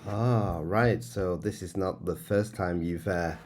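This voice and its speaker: A man hosting a podcast interview, speaking in a measured way with some hesitant restarts. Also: sits low, a little mellow, slight background noise. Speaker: podcast host.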